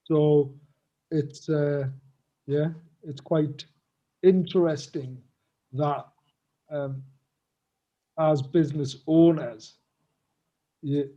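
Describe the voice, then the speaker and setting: Croaky guy on a business show, slow and halting, kinda dull lo-fi sound. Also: a little high, steady tone, warm tone. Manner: hesitant, halting delivery with many fillers. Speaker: co-host. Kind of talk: casual business chat.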